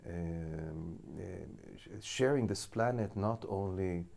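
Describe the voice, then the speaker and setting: A man in his fifties who grew up in Israel, a school director speaking as an interview guest, thinking aloud with halting restarts. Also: low voice, gravelly, darkish tone. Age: 50s. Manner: thoughtful, halting, restarts while reasoning. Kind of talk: studio interview.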